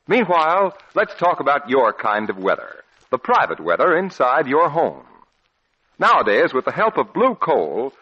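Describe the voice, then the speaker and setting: Husky, lively vintage radio announcer man. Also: medium pitch. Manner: polished, persuasive sales delivery. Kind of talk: 1940s radio commercial announcing. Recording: muffled old radio broadcast recording.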